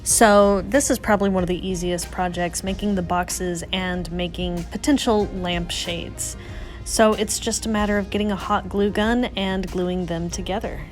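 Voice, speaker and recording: A woman's voice: medium pitch, fairly even intonation, moderate pace. A woman giving a casual, chatty and self-deprecating voice-over for a craft tutorial. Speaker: craft vlogger, host. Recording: music under the voice. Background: music.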